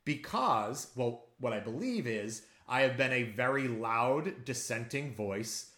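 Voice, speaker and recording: A man co-hosting a casual political podcast, telling a story in a rambling, animated way with many restarts. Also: medium pitch, measured pace, roomy sound. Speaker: podcast co-host.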